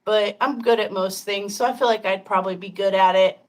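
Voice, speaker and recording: A woman's voice: medium pitch, steady tone, fairly quick. A woman in her fifties hosting a casual livestream chat, talking frankly and chattily with frequent laughter and some swearing. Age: 50s. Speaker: podcast host.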